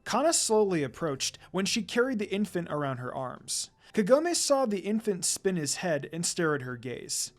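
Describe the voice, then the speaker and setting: Male narrator, fairly high voice, for a scripted fan fiction story reading. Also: sing-song, moderate pace, few pauses.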